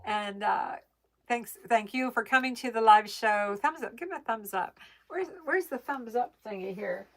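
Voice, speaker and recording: A woman's voice: on the high side, normal speed, a bit bright. A bubbly woman hosting a casual livestream from her family room at home, chatting and thanking viewers, recorded on a phone. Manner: chatty, bubbly, laughs easily. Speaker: livestream host reading viewer comments. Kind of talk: casual live chat.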